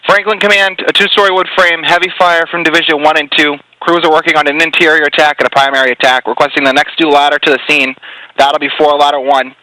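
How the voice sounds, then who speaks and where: A man's voice: fairly high pitch, little pitch movement, fast talker, bright, forceful tone, low-quality recording. A man working as an emergency fire dispatcher, relaying calls over two-way radio in clipped, procedural phrases. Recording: compressed radio dispatch audio with hiss.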